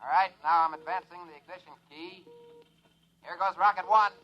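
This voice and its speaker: Man cast as a cool wisecracking rocket pilot, matter-of-fact cockpit talk, fairly high voice. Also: fairly even intonation, normal speed, muffled recording, close to an everyday voice. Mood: focused. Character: wisecracking young male test pilot, steady under pressure. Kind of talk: 1950s radio drama acting.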